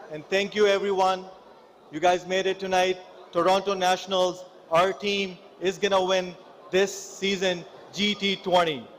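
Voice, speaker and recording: A man hosting a gala, switching between English and Hindi-Urdu, firing off rapid thank-yous and announcements. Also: high voice, a bit bright, slight background noise. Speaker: event host. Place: banquet hall.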